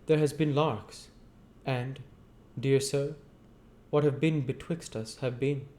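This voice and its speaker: Man acting humble rustic storybook fellow, pitched up. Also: fairly even intonation, a little mellow, natural voice. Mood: troubled. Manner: rustic dialect, deferential, halting.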